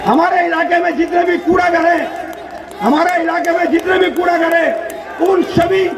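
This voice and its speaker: Male politician, very high raised voice, declamatory rally oratory, repetitive phrasing, far from the mic, booming echo. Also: steady tone, normal speed, a crowd in the background, noisy background. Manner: loud, declamatory, with repeated phrases and rhetorical questions. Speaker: politician, election candidate giving a campaign speech. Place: large public political gathering. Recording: echoey PA at crowded rally, distant mic.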